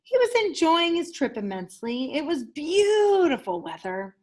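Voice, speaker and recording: High-voiced woman reading a children's story expressively. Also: moderate pace. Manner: enthusiastic, animated storytelling with dramatic emphasis. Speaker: teacher reading a picture book aloud.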